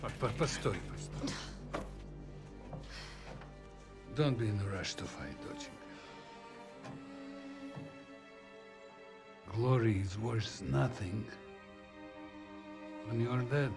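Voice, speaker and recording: An actor playing a gentle, protective older Russian father in a video game cutscene, speaking with a Russian accent. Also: mid-range voice, animated, normal speed, creaky, loud music behind the voice. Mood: tender, earnest.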